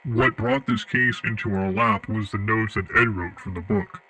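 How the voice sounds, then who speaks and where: A man's voice: on the low side, sharp tone, low-quality recording. A man playing an investigator who gives a formal, matter-of-fact case report in an audio drama. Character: official male investigator, audio and video specialist, reporting.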